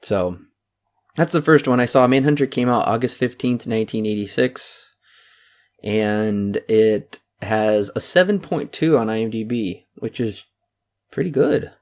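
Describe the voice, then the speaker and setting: Low-voiced male podcast co-host. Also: unhurried. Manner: chatty, steady, full of dates and figures. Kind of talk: casual podcast chat.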